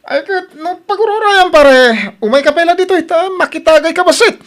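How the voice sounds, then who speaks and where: A man doing a loud jovial buddy. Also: extremely high pitch, moderate pace, voice pushed up. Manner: boisterous, teasing. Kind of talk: audio drama acting.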